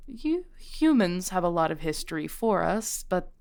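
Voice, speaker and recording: Woman acting as a modern witch shopkeeper, audio roleplay, gravelly fry, very unhurried, kindly, gently wry, reassuring. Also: lowish voice, very animated, full character voice. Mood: patient explaining. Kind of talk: scripted audio roleplay, one-sided intimate conversation.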